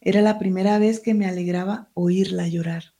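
Woman writer, mellow tone, hesitant, reads her own prose aloud. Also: medium pitch, fairly even intonation, normal speed. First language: Spanish. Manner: hesitant, searching for words. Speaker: writer and teacher. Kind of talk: interview answers and reading from her book.

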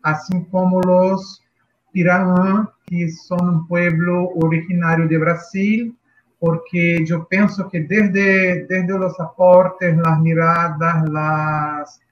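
A man from Brazil, speaking Spanish as a second language with a Brazilian Portuguese accent, answering as a guest teacher in a slow, thoughtful way, searching for words. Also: high voice, flat delivery, muffled recording. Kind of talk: reflective interview answer.

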